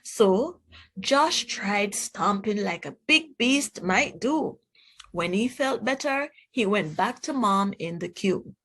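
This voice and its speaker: A woman reading a children's storybook aloud in a deliberate, expressive way, speaking directly to young listeners. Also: mid-range voice, husky.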